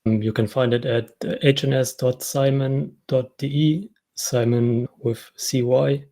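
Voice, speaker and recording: A man from Germany with a German accent, giving an informal tech talk in a hesitant, halting way with repeated words. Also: medium pitch, steady tone, mellow. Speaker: conference speaker, software developer.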